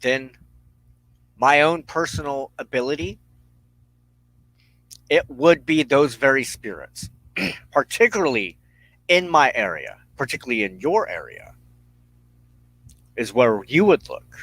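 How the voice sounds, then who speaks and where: A man hosting a personal spiritual talk, speaking in deliberate, halting phrases with firm emphasis. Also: mid-range voice, animated, a bit bright, dry recording, low-quality recording. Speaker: host of a weekly witchcraft broadcast.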